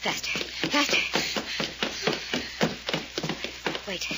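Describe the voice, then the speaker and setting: A woman's voice: normal speed, noisy background, natural voice. An actress playing a strong-willed, desperate young woman in an old-time radio mystery serial, with urgent, dramatic delivery. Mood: urgent, commanding.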